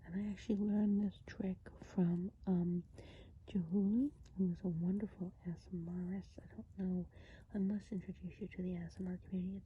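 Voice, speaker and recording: A woman whispering an ASMR roleplay as a kindly woodland witch, breathy and gentle, explaining things in an unhurried way. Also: medium pitch. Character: kindly woodland witch who sees herself as fairy godmother. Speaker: ASMR performer. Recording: dull, muffled audio with clear noise.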